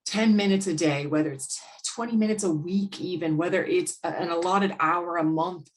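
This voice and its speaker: An outgoing American woman with a low voice talks through business ideas in a relaxed chat. She comes at her points in quick bursts and often restarts mid-sentence. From United States. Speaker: guest expert.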